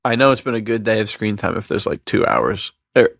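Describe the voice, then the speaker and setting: A man's voice: fairly low pitch, a little fast, vocal fry, a bit bright, dry recording, clean studio sound. A man chatting casually as a podcast co-host, with a slangy, self-deprecating way of talking. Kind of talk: casual chat.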